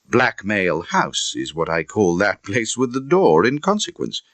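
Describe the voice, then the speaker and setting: Man acting indignant British Victorian gentleman, wildly animated delivery. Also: fairly low pitch, measured pace, no echo, full character voice. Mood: disapproving, musing. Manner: emphatic, theatrical, conversational asides. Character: Victorian gentleman recounting a grim incident, indignant and vivid. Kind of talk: dramatised audiobook storytelling.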